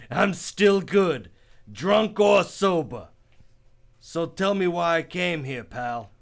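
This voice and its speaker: A man playing a menacing tough gunman in a hardboiled radio drama, with curt gangster slang and a gravelly voice. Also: sits high, lively intonation, extremely slow, heavily acted. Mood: cocky, threatening.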